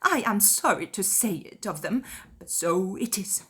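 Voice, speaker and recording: A woman's voice: mid-range voice, lively intonation, unhurried, a bit bright, breathy edge, exaggerated voice. A woman voicing a nervous, self-pitying middle-aged mother in a dramatized audiobook, with a plaintive, complaining manner. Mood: aggrieved, whining.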